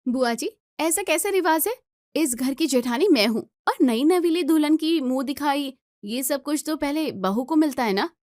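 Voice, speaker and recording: Woman acting a shrill, entitled young sister-in-law. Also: lively intonation, moderate pace, darkish tone, no echo, clean studio sound. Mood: indignant, demanding. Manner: indignant, demanding. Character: whiny, entitled young elder sister-in-law, quarrelsome and jealous.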